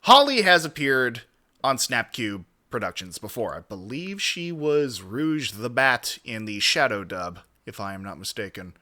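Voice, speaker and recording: A man's voice: medium pitch, very animated, measured pace, sharp tone. A man hosting a reaction stream with casual, wordy commentary and mildly formal, roundabout turns of phrase.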